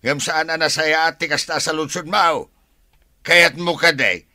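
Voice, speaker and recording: An actor playing a married older father in an Ilocano radio drama, with a raspy voice and an Ilocano accent. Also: a little high, steady tone, a little slow, sharp tone, dry recording, clean studio sound, exaggerated voice. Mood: exasperated, scolding. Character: married older father, forceful and easily exasperated.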